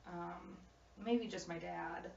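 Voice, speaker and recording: A woman playing a shy twelve-year-old immigrant schoolgirl in a counseling role-play, talking haltingly with many fillers and restarts. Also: fairly low pitch, fairly even intonation, gravelly, a little hiss or hum. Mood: hesitant. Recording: distant, roomy, dull recording.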